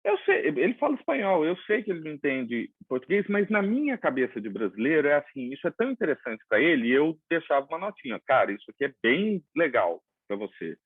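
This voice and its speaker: A man's voice: on the high side, fast talker, hardly any pauses, breathy edge, dry recording. Brazilian man on a tinny call line. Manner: animated storytelling with quoted dialogue. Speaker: host. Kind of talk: casual interview chat. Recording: dry tinny phone-like line.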